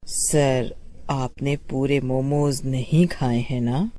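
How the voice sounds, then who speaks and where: A woman voicing a menacing witch food seller with a slow, taunting, sinister way of talking. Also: rumbling low, fairly even intonation, mellow. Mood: sinister, mocking.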